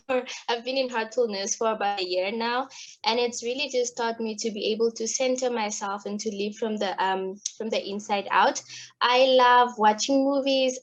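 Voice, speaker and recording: A medical student from Namibia greets the panel cheerfully in a fairly high voice. Her audio comes through dull and lo-fi.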